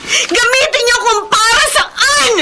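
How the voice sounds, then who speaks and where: An actress playing a young, overworked breadwinner elder sister in a radio drama, emotional and worn down. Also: female voice, very high-pitched, slow pace, very noisy, full character voice. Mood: angry, hurt. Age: young adult. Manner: emotional, tearful, pleading outbursts. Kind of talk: Tagalog radio drama acting.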